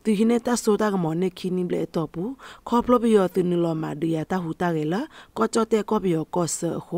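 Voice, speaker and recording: A radio news presenter reads from a script in a creaky voice with some gravel in it. The sound is close and dry, with very little room around it. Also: mid-range voice, darkish tone, no room sound.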